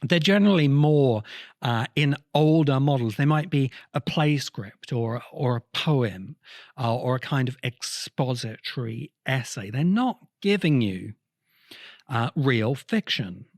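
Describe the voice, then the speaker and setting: A man hosting a podcast, explaining literary history in a deliberate, emphatic way with pauses between phrases. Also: mid-range voice, animated, dry recording, clean studio sound. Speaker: podcast host.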